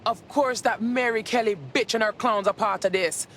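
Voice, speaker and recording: Indignant young-rebel type, played by a woman: highish voice, blunt, streetwise, game drama. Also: moderate pace, a bit bright, a little hiss or hum, close to an everyday voice. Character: blunt, indignant female resistance operative. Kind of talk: dramatic video game acting.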